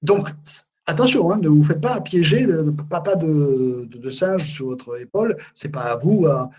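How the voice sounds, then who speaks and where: A man's voice: a little high, lively intonation, measured pace, a little mellow, slightly rough, lo-fi sound. A man presenting a management webinar on a video call, emphatic, repeating words and often tagging on "en fait, hein". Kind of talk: live webinar talk on management.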